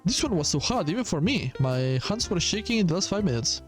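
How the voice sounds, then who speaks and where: A man voicing a cool, competitive teenage top student, a girl in a video game, with a brisk, challenging manner. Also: high voice, sing-song, soft background music. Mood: competitive, dismissive.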